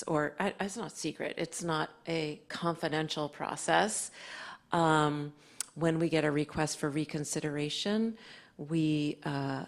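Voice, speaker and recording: Deep, gravelly-voiced woman. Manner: halting, with fillers and restarts. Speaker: county librarian on a panel. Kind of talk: panel discussion answers. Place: live library event.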